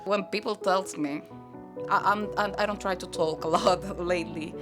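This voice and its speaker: A woman reflecting personally in a documentary interview, halting as she searches for words, with some creak in her voice. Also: fairly low pitch, steady tone. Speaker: documentary interviewee, patient's partner. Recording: music behind the voice.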